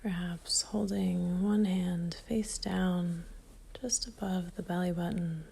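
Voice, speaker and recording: A woman guides a meditation in a soft, breathy near-whisper. Her voice is fairly low and mellow, with a slight creak at the edges. She is calm and gentle, leaving long pauses between phrases.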